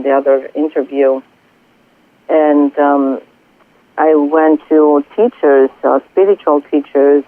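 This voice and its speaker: Woman, Greek accent, spiritual coach, earnest, halting with many pauses, tinny phone line, dry sound. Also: low voice, no room sound. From Greece. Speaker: spiritual coach and Reiki healer. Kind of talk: radio interview guest by phone. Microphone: telephone.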